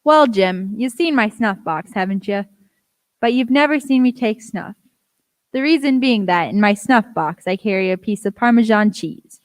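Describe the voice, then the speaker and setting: A woman acting a kindly gentleman doctor in a radio play. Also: medium pitch, expressive, normal speed, no echo, natural voice.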